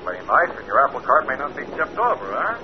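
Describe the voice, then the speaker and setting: Male actor as cool masked vigilante, medium pitch, terse threats, taunting, old radio drama, muffled noisy sound. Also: big pitch swings, quick pace, natural voice. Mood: cool, threatening. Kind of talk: 1940s radio drama acting.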